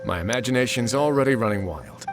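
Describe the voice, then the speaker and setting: A man's voice: mid-range voice, lively intonation, fast talker, close to an everyday voice. A man playing a dry, teasing, easygoing prison administrator in a video game, with a wry, laid-back way of talking. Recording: music clearly behind the voice.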